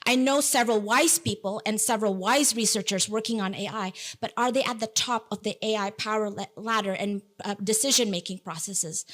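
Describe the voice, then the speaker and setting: A woman with a slightly high voice, speaking English as a second language after Indonesian. She gives a keynote in an earnest, emphatic way, slipping in playful asides to the audience. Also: a bit bright. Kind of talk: conference keynote talk.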